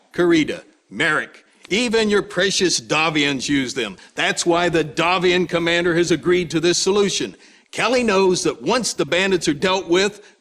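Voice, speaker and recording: A smug political commentator argues on a fictional game newscast. His voice is fairly high, and his delivery is emphatic and sure of itself. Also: a bit bright. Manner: emphatic, self-assured, smug. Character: opinionated, smug male political news pundit. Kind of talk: game newscast acting, punditry.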